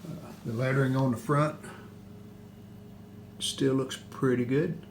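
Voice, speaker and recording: A man with a mid-pitched voice hosts a casual repair walkthrough. He is plain-spoken and matter-of-fact, keeping his sentences short and clipped. There is a little background noise. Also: steady tone, unhurried. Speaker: host of a vintage radio repair video. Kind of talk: casual hands-on restoration walkthrough. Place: indoors at home.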